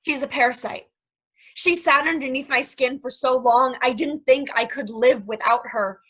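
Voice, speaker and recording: A woman's voice: high voice, moderate pace, fairly bright tone. A woman playing a scheming, eager young plotter in a table reading of a stage play. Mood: conspiratorial, tense.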